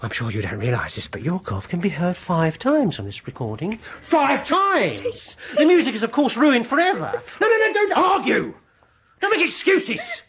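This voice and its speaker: An actor playing a coldly vengeful, obsessive music lover in a radio horror drama, pedantic and condescending. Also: male voice, high voice, wildly swooping pitch, moderate pace, a bit bright, heavily acted. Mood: manic, furious. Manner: pedantic, mock-reasonable, condescending.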